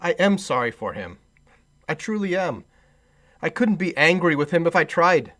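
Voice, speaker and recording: Animated, cheerful nephew, higher-voiced man acting. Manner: animated, earnest, hearty. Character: Scrooge's cheerful, forgiving, good-humored nephew. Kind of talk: radio play acting.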